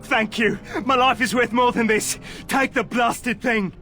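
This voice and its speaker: A man's voice: very high voice, fairly even intonation, measured pace, sharp tone, faint music behind, full character voice. A man playing a frightened, pleading captive treasure thief in a video game, anxious and desperate. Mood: pleading, exasperated.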